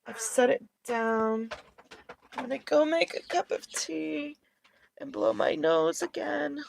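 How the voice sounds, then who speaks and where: Gravelly chatty woman losing her voice. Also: high voice, very animated, slow pace, noisy background. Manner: rambling, chatty, with many fillers. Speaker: streamer knitting on a livestream. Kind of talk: casual chat.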